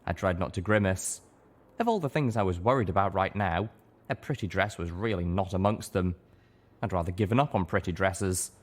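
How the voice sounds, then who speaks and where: A man reading a story aloud as an audiobook narrator, in expressive scripted narration. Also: low voice, fairly quick.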